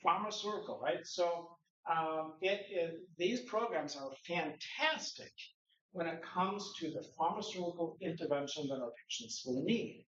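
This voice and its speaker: Conference speaker: man, slightly high voice, posing rhetorical questions, picked up by a distant mic in a roomy hall. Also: animated, unhurried, fairly bright tone. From Norway. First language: Norwegian. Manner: conversational lecturing with rhetorical questions. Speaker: conference presenter. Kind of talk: conference presentation. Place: conference room. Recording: echoey room, distant mic, dull sound.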